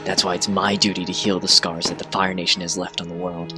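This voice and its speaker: A troubled young ruler from a cartoon dub, solemn and weighed down by duty, acted by a man. The low voice speaks gravely and haltingly over clear music. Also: flat delivery, close to an everyday voice. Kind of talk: animated comic dub acting.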